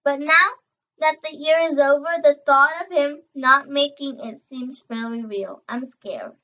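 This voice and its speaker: A young girl reading a children's novel aloud, expressive and emphatic on dialogue, stumbling over words now and then. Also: sits high, unhurried, lo-fi sound. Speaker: reader.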